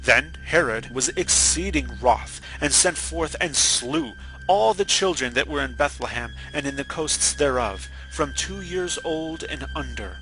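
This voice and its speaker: A man's voice: a little high, lively intonation, a little slow, hardly any pauses, over music, rough recording. A man giving a dramatic reading of scripture as a narrator. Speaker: Bible reader.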